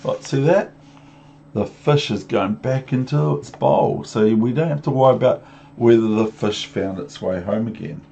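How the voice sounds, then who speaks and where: A man's voice: medium pitch, moderate pace, gravelly, warm tone. A man reading a picture book aloud to young children, with chatty asides and questions. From New Zealand. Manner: rhythmic, repetitive, talking down to young listeners with questions. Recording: muffled lo-fi home recording with background noise.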